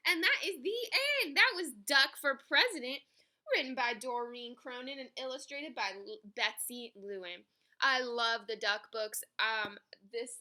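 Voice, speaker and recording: Lady reading a kids book out loud, bright kinda high voice, really expressive. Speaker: picture book reader. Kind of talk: read-aloud storytelling for children.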